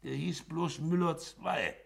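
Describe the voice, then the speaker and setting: A male actor in a German radio play, playing a jovial, sentimental man who spins anecdotes in an animated, drawn-out way. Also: a little high, vocal fry, heavily acted. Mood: amused storytelling.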